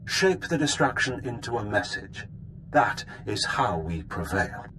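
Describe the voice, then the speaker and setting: An actor playing a fervent rebel mastermind rallying followers in a game cutscene, oratorical and deliberate. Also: male voice, on the low side, very animated, sharp tone, slight background noise, full character voice. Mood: fervent, commanding. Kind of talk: video game cutscene acting.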